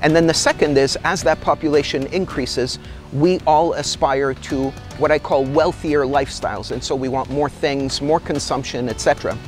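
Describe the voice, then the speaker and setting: A middle-aged man from Canada, a company executive, speaking in a measured and articulate way in a corporate interview outdoors in a garden. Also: on the high side, soft background music. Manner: measured, articulate, gestures while talking.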